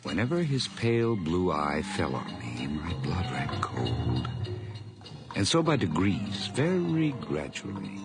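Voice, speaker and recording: An actor playing an obsessive, unhinged farmhand in a radio drama, theatrical and deliberate, courteous in an eerie way. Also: male voice, low voice, huge pitch swings, gravelly, full character voice. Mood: brooding, menacing. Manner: theatrical, deliberate, repeats phrases intensely. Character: obsessive, unhinged farmhand narrator confessing murder, eerily courteous. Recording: music bed under the voice.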